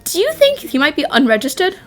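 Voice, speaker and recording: A woman's voice: high voice, wildly swooping pitch, fairly quick, faint music behind. A woman voicing a brave, earnest and polite schoolboy in an anime-style fan dub. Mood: earnest, worried.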